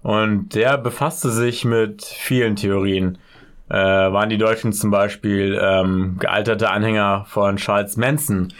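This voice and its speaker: Man, lower pitch; relaxed podcast co-host telling the story. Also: a little slow. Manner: relaxed, laid-back, reads out case details. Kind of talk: casual true-crime podcast storytelling.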